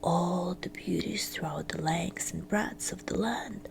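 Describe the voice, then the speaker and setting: A woman reading a fairytale as ASMR storytelling, in a breathy, crackly whisper with hushed phrasing and gentle pauses. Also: on the low side.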